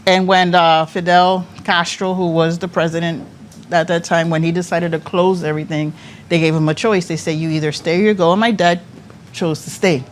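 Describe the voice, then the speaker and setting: A woman's voice: lowish voice, moderate pace, slight background noise. A woman in her fifties, born in Cuba, a pageant director telling her life story as an interview guest, laughing often and restarting her sentences. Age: 50s. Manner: lively, laughs often, talks with many restarts.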